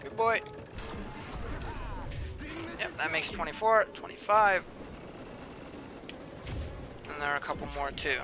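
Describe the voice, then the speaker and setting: Young male gamer, slightly high, a bit whiny, chatty and mumbly, music running underneath. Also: slow pace, long pauses. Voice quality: says he has a whiny voice. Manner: chatty, mumbles asides to himself while playing. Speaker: game streamer. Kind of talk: casual live-stream commentary, reading chat. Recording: clear music playing behind. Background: background music.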